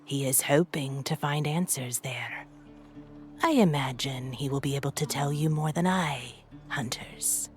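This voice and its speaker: An actor, a woman, playing a solemn clan elder and lorekeeper in a fantasy game, speaking in grave, measured storytelling. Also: low voice, soft background music. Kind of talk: video game cutscene acting.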